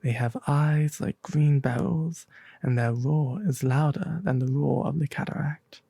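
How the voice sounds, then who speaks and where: A man voicing a kindly, earnest little swallow in a storybook, soft and breathy, wistful and gentle. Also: fairly high pitch, steady tone, a little slow, dry recording, exaggerated voice. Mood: dreamy.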